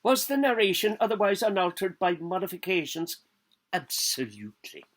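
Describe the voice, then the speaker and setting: A man gives a theatrical reading of literary prose in a slightly high, husky voice. His pitch swings widely as he declaims, and he sets out each phrase deliberately, with pauses between them. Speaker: actor giving a public literary reading.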